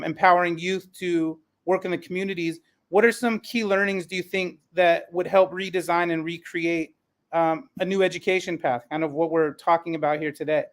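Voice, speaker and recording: A man hosting a panel discussion, speaking in an affirming, encouraging manner. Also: on the high side. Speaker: host.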